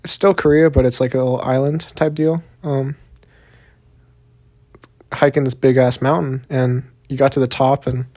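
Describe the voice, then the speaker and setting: A man's voice: mid-range voice, level tone, moderate pace, pauses often, dark tone. A young man with a Southern Texan accent hosting a solo podcast, rambling in a laid-back, profane way with plenty of fillers. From Texas, USA. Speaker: podcast host.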